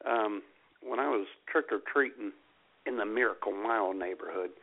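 A man's voice: lowish voice, moderate pace, narrow, tinny audio. A man hosting a radio show, bantering casually and jokingly with a co-host.

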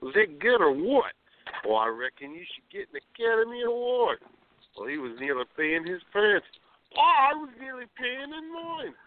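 An actor playing a cheeky, excitable male friend in a radio play, joking and admiring. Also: sits high, moderate pace, narrow, tinny audio. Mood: amused excitement.

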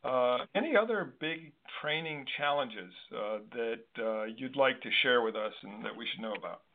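A man's voice: medium pitch, lively intonation, normal speed. Male host, phone-like sound. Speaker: interview host. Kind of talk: casual discussion. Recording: narrow phone-like line.

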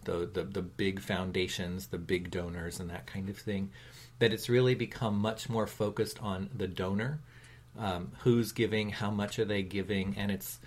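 Low-voiced man leading a discussion. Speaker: talk show host. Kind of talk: conversational discussion.

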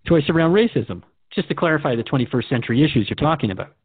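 A man's voice: fairly high pitch, expressive, quick pace, breathy edge, no echo. Male radio host on a phone-like line. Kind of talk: interview in a radio drama. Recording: dry, narrow phone-like band.

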